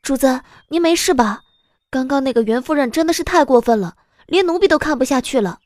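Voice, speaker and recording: A woman's voice: high voice, expressive, fairly quick, a little mellow, dry recording, studio quality. A woman voicing an anxious, loyal young maidservant, earnest and fretful, in a costume romance audiobook. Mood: worried.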